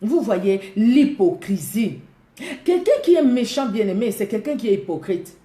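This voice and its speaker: A woman's voice: mid-range voice, big pitch swings, unhurried, warm tone. A woman from Congo with a Congolese French accent, preaching a sermon emphatically, repeating key words and laughing often. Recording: echoey room.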